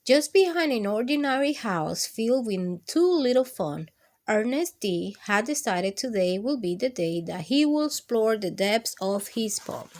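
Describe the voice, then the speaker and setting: A woman hosting a children's storytime, reading picture books aloud expressively with drawn-out emphasis for young listeners. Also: fairly high pitch, lively intonation, darkish tone. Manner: drawn-out, emphatic delivery addressed to small children.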